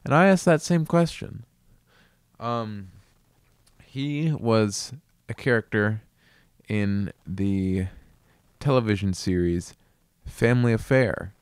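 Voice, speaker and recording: A man hosting a casual video, chatting about an album in a relaxed, amused, rambling way. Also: lowish voice, takes it slowly, clear, resonant tone. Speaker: video host reviewing an album. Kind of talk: casual commentary.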